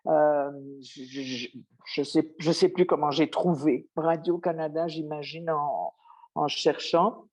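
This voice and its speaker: Older Belgian woman, low and mellow. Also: steady tone, slow pace. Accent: Belgian French. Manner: thoughtful, restarts phrases often. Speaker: interviewee, former radio worker. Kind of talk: reflective interview talk.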